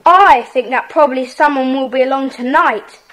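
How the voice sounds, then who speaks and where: Decisive, high-pitched boy leader. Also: moderate pace, a bit bright. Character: Peter, decisive schoolboy leader of secret club. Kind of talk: children's audiobook dramatisation.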